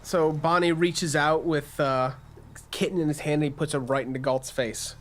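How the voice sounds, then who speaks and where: A man's voice: a little high, steady tone, normal speed. A man serving as game master, casually improvising narration and rulings for a tabletop game.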